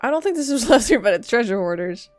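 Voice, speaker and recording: A woman's voice: on the high side, animated, fast. A woman doing casual live reaction commentary as a game streamer, blunt, exclamatory and sarcastic. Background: game music.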